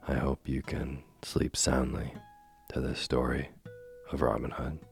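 A man hosting a sleep podcast and reading a bedtime story softly, slowly and soothingly, while he is losing his voice. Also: very deep voice, completely flat delivery, creaky. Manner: slow, gentle and soothing. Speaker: sleep podcast host and reader. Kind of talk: soft bedtime story narration. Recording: faint music behind.

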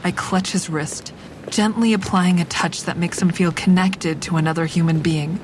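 Over clear rumbling traffic noise, an actress plays a hard-edged woman narrating her own drama. Her voice is on the low side, and her delivery is wry, frank and deliberate, in clipped sentences. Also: fairly even intonation, natural voice, low-quality recording. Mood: calculating, intimate. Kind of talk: scripted first-person dramatic narration.

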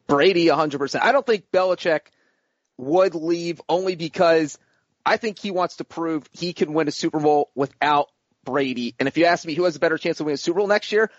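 A man's voice: on the high side, a little fast, dry recording. A man co-hosting a sports podcast, laying out his points steadily. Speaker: podcast co-host. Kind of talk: casual sports talk. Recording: dry lo-fi podcast mic.

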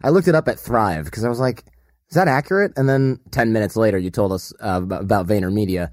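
A man's voice: fairly low pitch, fast talker, a little mellow, no echo, clean studio sound. A man hosting an interview podcast, speaking in a casual, conversational style.

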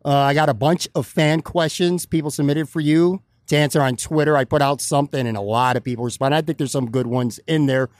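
A man's voice: fairly high pitch, fairly even intonation, fairly quick, few pauses, dry recording, studio quality. A man hosting a podcast interview who asks long, rambling questions in a friendly, casual way. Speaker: podcast host. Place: bar or restaurant.

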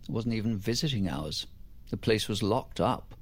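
An actor playing a dry, stubborn middle-aged English father who needles quietly, gravelly and husky, in an audio drama. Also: fairly low pitch, lively intonation, normal speed. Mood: wry, matter-of-fact. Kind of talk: naturalistic audio drama acting.

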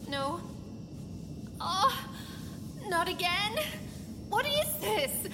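A woman playing a distressed but determined scientist alone on a derelict spaceship in an audio drama, speaking in halting, breathless bursts. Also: very high-pitched, big pitch swings, in a large hall, noisy background, heavily acted. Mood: panicked. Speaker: voice actor in lead role.

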